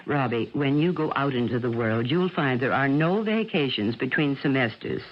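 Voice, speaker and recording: An actress playing a fussy, affectionate but sharp-tongued middle-aged American mother in a radio drama, chiding and wry. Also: very deep voice, animated, normal speed. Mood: wry, needling. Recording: muffled old radio broadcast.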